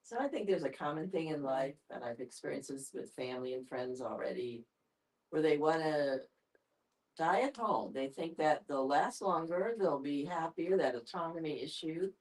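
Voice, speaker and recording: A woman's voice: low-pitched, animated, normal speed, darkish tone, poor audio. A woman sharing personal experience conversationally in a group discussion. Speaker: audience participant.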